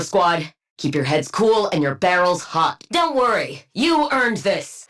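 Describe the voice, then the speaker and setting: A woman playing a brilliant, dry-witted scientist villain-antihero in a video game, speaking in a clinical, deadpan and wryly bookish way. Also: lowish voice. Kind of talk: video game combat voice lines.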